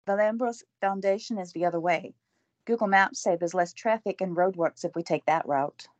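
An actress playing an excitable, nervous young woman in love, in an audio drama. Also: on the low side, normal speed, no room sound, muffled recording, natural voice. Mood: excited. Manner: excitable, flustered. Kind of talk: amateur audio drama acting.

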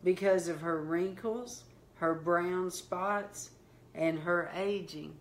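Southern woman voicing God: hushed, tender, slow. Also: lowish voice, slight background noise, voice pushed down. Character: God, a gentle, tender male voice.

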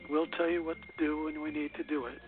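A man's voice: a little high, level tone, quick pace, soft background music. A man hosting a radio show, reading his scripted links and sign-offs to the listeners. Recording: narrow phone-like line.

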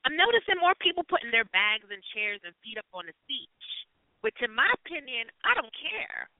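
A man's voice: extremely high pitch, sing-song, fairly quick, no echo. A man hosting a call-in show, talking in an effusive, slangy way with a lot of swearing. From New York City. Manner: gushing, effusive, slangy and profane. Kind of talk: casual call-in podcast chat. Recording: tinny phone-line audio.

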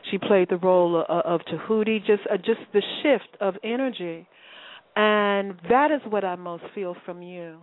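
A woman's voice: medium pitch, normal speed. A woman hosting a spiritual call-in radio show, who talks in a reflective, teacherly way with restarts. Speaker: host. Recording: phone-line call-in audio.

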